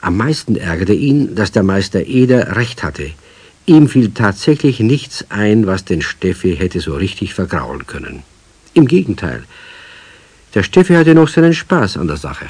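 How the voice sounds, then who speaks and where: A man's voice: lowish voice, very animated, normal speed, a little mellow, husky, no room sound. A man narrating a children's radio play as a wry storyteller.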